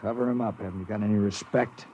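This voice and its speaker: An American man playing a gruff, grumbling, worried ship's medical orderly in 1950s radio style. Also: on the low side, fairly even intonation, quick pace, a little hiss or hum, close to an everyday voice. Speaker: actor in a radio drama. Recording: muffled vintage radio recording.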